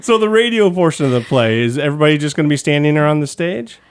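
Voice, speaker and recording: Male podcast host, slightly high voice, casual and self-deprecating, loose rambling interview style. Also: fast.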